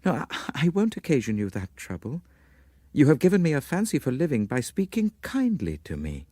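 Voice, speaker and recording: A man voicing a desperate, destitute woman in an audiobook story, proud, reckless, weary and deliberate. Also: on the high side, wildly swooping pitch, warm tone, no echo, clean studio sound, voice pushed up. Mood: softened, grave.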